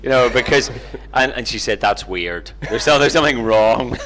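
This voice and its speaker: A middle-aged Northern Irish man speaks at an ordinary male pitch. He tells personal anecdotes informally, in a self-deprecating, conversational manner, like a guest being interviewed. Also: fairly even intonation, moderate pace, noisy background. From Northern Ireland, near Belfast. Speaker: interview guest, Bible teacher.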